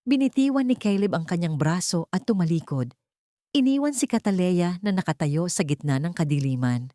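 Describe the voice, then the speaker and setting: A woman narrates a dramatic love story from a script. Her voice sits a little low, and her storytelling is solemn and measured. The recording is dry, with very little room sound. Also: expressive, dark tone, dry recording.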